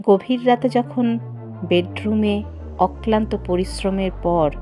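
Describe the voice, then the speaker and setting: A woman narrating a horror story in the first person, theatrical and suspenseful, with drawn-out pauses. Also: mid-range voice. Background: background music.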